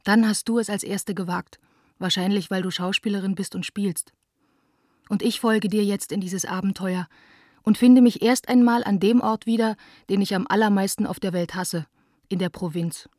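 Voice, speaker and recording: A woman's voice: mid-range voice, steady tone, moderate pace, a little mellow, dry recording, studio quality, lightly acted. An actress playing a young woman theatre director who reads her letters in a radio drama, reflective, plain-spoken and confiding. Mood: wistful, lost.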